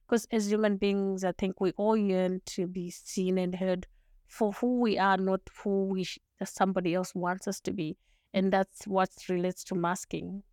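Zimbabwean lady guest on a podcast, earnest and reflective, shona is her first language, smooth tone. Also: medium pitch, flat delivery, moderate pace, a little mellow, no echo, clean studio sound. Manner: earnest, thoughtful, frequent restarts and small laughs. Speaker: guest, lawyer. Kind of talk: podcast interview, reflective storytelling.

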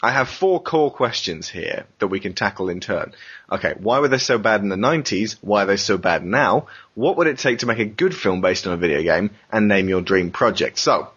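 A man with a fairly low, husky voice hosts an informal panel chat. He is jokey and likes to prompt others with questions. The recording is dull and lo-fi. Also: lively intonation, brisk, fairly bright tone. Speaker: podcast host. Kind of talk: casual panel discussion.

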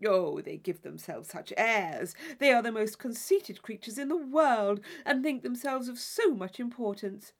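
Woman acting affected young lady, gushing and emphatic, animated swoops, storybook character. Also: fairly high pitch, a little slow, few pauses. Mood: gushing, coy. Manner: theatrical, emphatic exclamations. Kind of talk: dramatised audiobook reading of a classic novel.

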